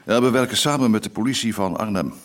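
Male actor as middle-aged Dutch father, police inspector, low-pitched, good-natured, dry, teasing, radio play. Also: fast, close to an everyday voice. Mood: dry, relaxed. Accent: Netherlandic Dutch. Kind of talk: radio drama acting.

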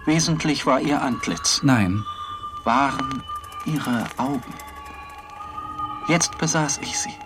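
A man playing a disturbed, morbid painter who narrates in a brooding, deliberate literary monologue. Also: medium pitch, over music, lightly acted. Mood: haunted, brooding.

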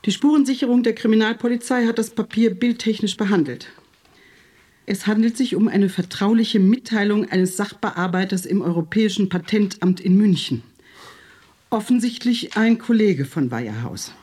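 An actress playing a grand old woman professor chairing a board meeting, measured and formal. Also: medium pitch, mellow, breathy edge, close to an everyday voice. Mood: grave. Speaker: company executive in a radio drama. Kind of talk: radio drama acting.

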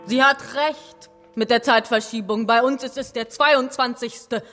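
Composed academy member, highish voice. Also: female voice, fairly even intonation, measured pace, fairly bright tone. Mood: firm, matter-of-fact. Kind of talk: audio drama acting. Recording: reverberant hall, faint music.

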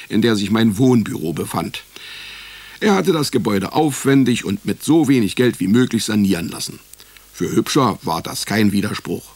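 An actor playing a wry, broke private detective in a radio play, with a deadpan, sardonic manner. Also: male voice, on the low side, dry recording. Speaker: narrator and lead actor. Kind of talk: first-person detective radio play narration and dialogue. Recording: music behind the voice. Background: music.

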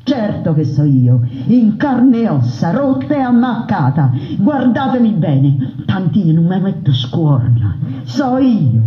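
A woman's voice: mid-range voice, very animated, slow pace, hardly any pauses. A woman performing a theatrical monologue as a defiant peasant brigand woman, speaking with impassioned, declamatory force. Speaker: narrator voicing a brigand woman. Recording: roomy dull radio broadcast.

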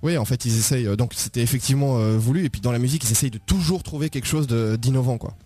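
Man interpreting live, halting restarts. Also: medium pitch, dark tone. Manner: halting, restarts while translating.

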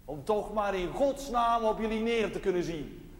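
An actor playing an earnest, playful, plain-spoken village chaplain, preaching emphatically with pauses in a television drama. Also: male voice, high-pitched, lively intonation. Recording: noisy, echoey church-like hall.

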